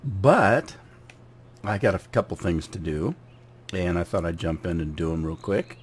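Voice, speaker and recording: A man with a cold and a gravelly, hoarse voice, casually commenting on his farming game while often clearing his throat. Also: low-pitched, expressive, unhurried. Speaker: gaming channel host.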